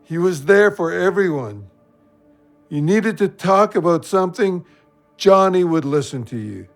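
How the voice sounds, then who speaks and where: A man's voice: sits high, expressive, measured pace, soft background music. An actor playing a grief-stricken, drunken friend brooding on his guilt in an audio drama. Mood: guilt-ridden.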